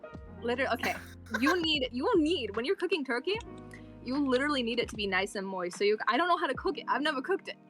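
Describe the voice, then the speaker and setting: A woman with a fairly high voice that leaps around in pitch, talking chattily as a guest and tumbling through fillers as she explains herself. Faint music plays behind her. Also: expressive, fast talker, a bit bright. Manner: chatty, rambling, lots of fillers like "okay". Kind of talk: casual group chat.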